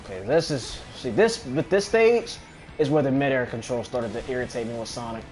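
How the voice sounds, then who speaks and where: A man's voice: mid-range voice, expressive, a little fast, darkish tone, soft background music. A man casually commentating on a video game as he plays, grumbling and swearing. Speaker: gamer commentating on own play.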